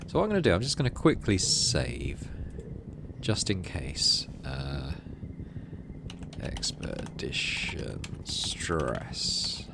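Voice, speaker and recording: A man's voice: extremely low pitch, wildly swooping pitch, takes it slowly, vocal fry, background noise. A man doing let's play commentary on a game, reading narration aloud and thinking through choices in tentative asides. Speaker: gaming video host.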